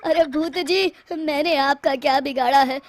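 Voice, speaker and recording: A woman's voice: high-pitched, fairly even intonation, measured pace, no room sound. A woman voicing a frightened male village headman begging for mercy in a cartoon story. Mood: panicked. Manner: panicked pleading.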